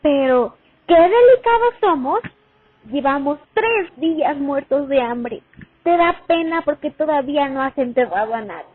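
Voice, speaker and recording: A woman's voice: sits high, animated, unhurried. Woman acting haunted female sniper, phone-like sound. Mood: angry, scolding. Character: young female Soviet sniper, haunted war veteran remembering. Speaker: actor in a student radio drama. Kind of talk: dramatic first-person monologue, radio play. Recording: muffled phone-like recording.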